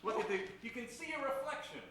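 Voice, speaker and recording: A man's voice: very high voice, animated, fast, pushed and bright, heavy reverb, a little hiss or hum, heavily acted. A man playing a chirpy, pushy shoe-shine salesman in a parody old-time radio commercial, with folksy patter. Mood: salesy, upbeat.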